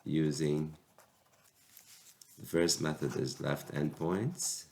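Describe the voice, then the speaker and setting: Very deep, gravelly man with a cold. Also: fairly even intonation, mellow. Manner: slow, halting explanation with restarts. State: sick with a cold. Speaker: math teacher. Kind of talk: recorded calculus lecture.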